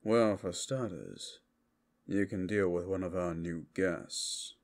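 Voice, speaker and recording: Man voicing a blunt, gravelly tiefling messenger. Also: low voice, takes it slowly. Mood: blunt, businesslike. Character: blunt tiefling messenger bringing unwelcome news. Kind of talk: ASMR roleplay acting.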